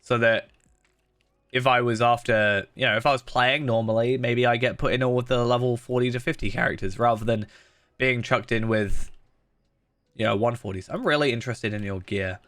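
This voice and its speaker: A man's voice: mid-range voice, steady tone, normal speed, a bit bright, no echo. A man live-streaming a game, chatting casually and thinking aloud, with a blocked nose that makes him sound slightly nasal. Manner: chatty, thinking aloud with many fillers and restarts. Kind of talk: casual live-stream commentary while playing.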